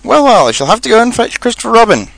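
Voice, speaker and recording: Brisk, prim rabbit for a storybook male reader, high and lively, matter-of-fact and stern. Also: creaky, no room sound, exaggerated voice, poor audio. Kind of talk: storybook character reading.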